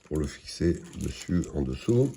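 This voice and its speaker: A man giving an unscripted product demonstration in a halting, matter-of-fact, explanatory way. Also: extremely low pitch, very animated, gravelly, background noise. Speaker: product presenter.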